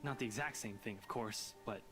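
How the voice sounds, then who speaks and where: A woman puts on a shy young man who is a steady friend, pushing her voice well down into a deep, halting register. He talks gently and low. Music plays clearly underneath. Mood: sincere. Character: awkward, reassuring young man, a close friend.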